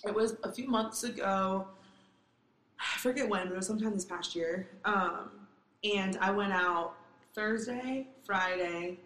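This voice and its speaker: A raspy young woman talks loosely and candidly, swearing as she tells a story. Her voice sits at an ordinary pitch, and the room around her rings with echo. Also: a little slow, fairly bright tone. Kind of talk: casual chat. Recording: echoey room.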